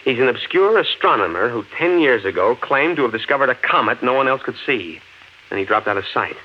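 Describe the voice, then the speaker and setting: An actor playing a level-headed, inquisitive man in a 1950s radio drama, speaking earnestly and deliberately. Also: medium pitch, expressive, close to an everyday voice. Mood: pondering. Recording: noisy, muffled 1950s radio recording.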